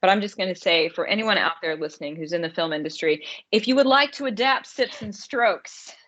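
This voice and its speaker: A woman's voice: fairly low pitch, brisk, a bit bright. A woman co-hosting a podcast, chatting casually about films in an emphatic way, repeating words for stress. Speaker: podcast co-host. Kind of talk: casual movie review chat. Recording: dull lo-fi audio.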